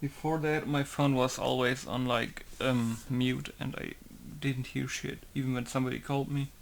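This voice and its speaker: Gravelly, rambling young man. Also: medium pitch, little pitch movement, moderate pace, a little hiss or hum. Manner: rambling, chatty asides. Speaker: game modder. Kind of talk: casual working commentary.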